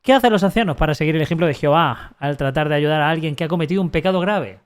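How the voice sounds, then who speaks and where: A man's voice: on the high side, clear, resonant tone. A man with a Spanish accent from Spain reading a text aloud on a live stream, breaking off for sarcastic, mocking asides and restarting his sentences often. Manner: halting, frequent restarts while reading.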